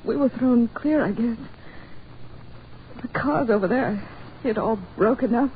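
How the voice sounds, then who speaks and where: An actress playing an expressive, easily frightened woman traveller in a 1940s radio horror drama, with dramatic, emotional delivery. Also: fairly high pitch, measured pace, close to an everyday voice. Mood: frightened, anxious. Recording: dull, noisy 1940s radio recording.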